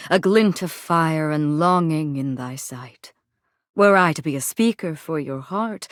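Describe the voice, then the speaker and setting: An actress playing a proud, honor-bound warrior general in a video game, with formal, archaic, Shakespearean theatrical delivery. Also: female voice, on the low side, no room sound, studio quality. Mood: tender, earnest. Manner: formal, grandiose, poetic, solemn.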